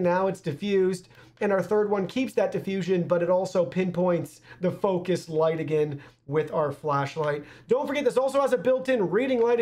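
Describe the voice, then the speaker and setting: A man's voice: high voice, a little mellow. Upbeat young man presenting a product review. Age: young adult. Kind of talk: scripted-sounding product review presentation to camera. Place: small indoor studio.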